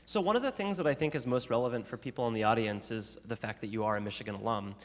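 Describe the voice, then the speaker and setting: A man's voice: medium pitch, fast talker. A man co-hosting a lecture, asking the guest long, carefully framed questions. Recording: long hall reverb.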